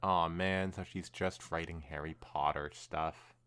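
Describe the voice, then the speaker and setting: A man's voice: low-pitched, steady tone, unhurried, a bit bright, clean tone. A man co-hosting a podcast, narrating a story aloud and breaking into casual banter. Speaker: podcast co-host.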